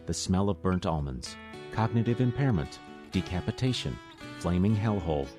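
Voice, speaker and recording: A man playing a deadpan announcer in a parody drug commercial, reading straight-faced over guitar music. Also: low voice, normal speed. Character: deadpan male pitchman for an absurd medication. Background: plucked guitar music.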